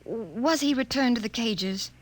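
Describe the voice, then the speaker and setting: A woman's voice: a little high, expressive, moderate pace. An actress playing a passionate, defiant woman scientist in love in a 1950s radio drama, with an earnest, insistent delivery. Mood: guarded, anxious. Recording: noisy old radio recording, long reverb.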